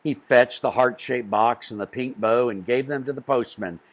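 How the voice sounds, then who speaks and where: A man reading a picture book aloud to children, expressively, with deliberate phrasing and stressed story beats. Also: medium pitch.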